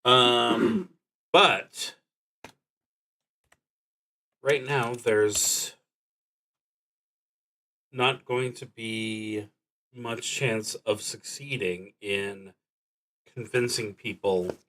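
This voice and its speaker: A man streaming a solo roleplaying game, thinking aloud and reading prompts in a halting, deliberative manner, sometimes murmuring to himself. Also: medium pitch, sharp tone, rough recording.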